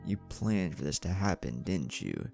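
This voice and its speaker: A man's voice: low voice, very animated, measured pace, vocal fry, dark tone, soft background music, full character voice. A man voicing a defiant young male ninja hero in a story, firm and irreverent. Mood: wary.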